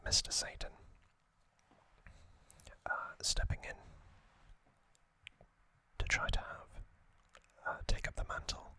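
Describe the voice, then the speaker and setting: Slow, hushed whispering ASMR man. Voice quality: breathy, unvoiced whisper. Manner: slow, hushed, deliberate, with long pauses between short phrases. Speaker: host of an ASMR trivia channel. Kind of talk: ASMR whispered storytelling, loosely scripted recap.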